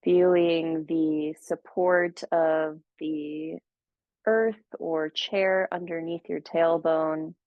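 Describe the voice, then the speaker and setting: Gentle low gravelly woman guiding meditation. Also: fairly even intonation, dry recording. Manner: slow, gentle, soothing guidance with long pauses. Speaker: meditation teacher. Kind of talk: guided meditation. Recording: dull, muffled audio.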